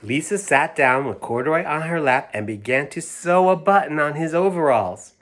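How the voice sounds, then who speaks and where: A man reading a children's picture book aloud, gently, with chatty asides as if talking to young children. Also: on the high side, expressive, normal speed, slight background noise. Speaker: storybook reader.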